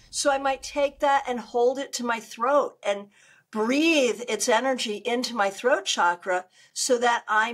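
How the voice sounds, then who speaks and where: An older woman with a loud, good speaking voice, chatting animatedly as a guest over a video call. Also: fairly high pitch. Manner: animated, gestures a lot while talking. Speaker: tarot reader guest. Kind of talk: casual interview chat. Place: home room on a video call.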